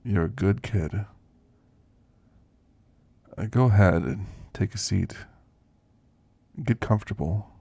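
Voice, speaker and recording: Guy doing a creaky old grandpa werewolf, asmr roleplay, gentle n teasing, super close dry sound. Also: lowish voice, dry recording, full character voice. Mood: gentle, friendly. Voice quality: gravelly, creaky. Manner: unhurried, folksy, gently chatty. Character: kindly, frail elderly male werewolf, teasing and grandfatherly. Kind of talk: ASMR roleplay, intimate character monologue.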